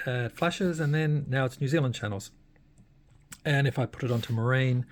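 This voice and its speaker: A man giving a casual hands-on demonstration as a radio hobbyist, often hesitating and pausing mid-sentence in a creaky voice. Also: mid-range voice.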